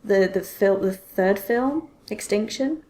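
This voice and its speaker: A woman's voice: mid-range voice, measured pace, a little mellow. A woman hosting a podcast in casual chat, telling things expressively with frequent self-interruptions and exclamations. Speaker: podcast host.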